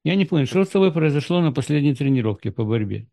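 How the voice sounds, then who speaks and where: Calm, probing male interviewer, mellow tone. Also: on the high side, fast, creaky, no echo, studio quality. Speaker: interviewer, host. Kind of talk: casual conversation.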